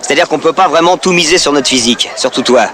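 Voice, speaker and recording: An actor playing a chatty, blunt, hapless holidaymaker in a comedy film, speaking colloquially. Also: male voice, a little high, fast talker, fairly bright tone, a faint crowd, a little hiss or hum, close to an everyday voice. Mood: blunt advising. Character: hapless chatty male holiday skier giving advice.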